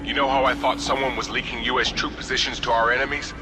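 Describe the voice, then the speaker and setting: A man's voice: mid-range voice, little pitch movement, fast, natural voice. An actor playing a tough young male mercenary soldier in a game, swearing and giving radio calls. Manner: tough, profane. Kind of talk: video game dialogue. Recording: game audio with loud music.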